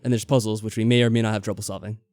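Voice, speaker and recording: A man's voice: on the low side, little pitch movement, rapid-fire, a little mellow, dry recording, clean studio sound. A man hosting a let's play, chatting casually and a bit tentatively as he comments on a game and reads from it. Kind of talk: casual chat and game commentary, reading game text aloud. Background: game music behind the voice.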